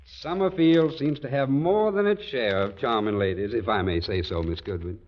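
An actor playing a formal, gallant government gentleman and charmer in a 1940s radio comedy. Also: medium pitch, normal speed, close to an everyday voice. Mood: gallant, flirtatious. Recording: dull vintage radio recording.

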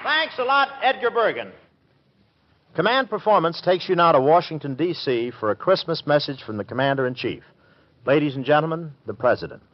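A man's voice: fairly high pitch, lively intonation, a little fast, a little hiss or hum. A man announcing formally in a projected 1940s radio style. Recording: muffled old radio recording with crowd.

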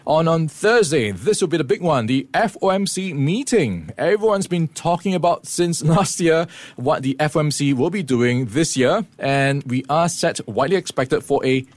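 A man presenting a morning business news briefing, explaining the week's events in a steady way with occasional fillers. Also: on the high side, moderate pace, no echo. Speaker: radio presenter.

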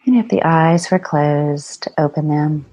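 Female meditation guide, low and very slow, soothing, deliberate pauses between phrases. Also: mellow, rough recording.

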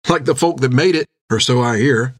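A man's voice: medium pitch, a little fast, dry recording, studio quality, natural voice. A man voicing a chatty, impatient bald tavern waiter with folksy old-time speech, in an audiobook. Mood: chatty, amused.

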